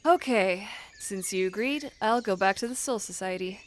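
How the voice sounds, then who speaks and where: Actress as stern composed warrior woman, curt, matter-of-fact, medium pitch, faint background noise, anime audio drama. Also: moderate pace, close to an everyday voice. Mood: composed, serious.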